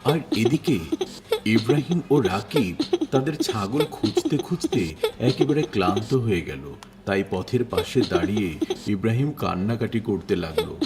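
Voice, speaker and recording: A man's voice: fairly low pitch, heavy background noise. A man narrating a children's cartoon story. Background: music.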